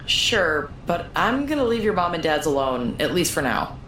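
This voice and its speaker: An actress playing a casual, helpful tech teammate in an audio drama, obliging in manner. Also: female voice, low voice, sing-song, normal speed, a bit bright. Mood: easygoing. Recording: roomy, faint background noise.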